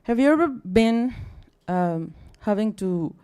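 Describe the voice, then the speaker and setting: A woman's voice: medium pitch, animated, slow pace, dark tone, clean tone. A young woman from Mexico with a Spanish accent, speaking English as a second language, giving a tired, chatty, apologetic talk to a live audience. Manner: chatty and apologetic, with many fillers and restarts. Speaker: guest speaker at a science talk night. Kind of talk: casual, self-deprecating live talk. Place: bar or event room with a live audience.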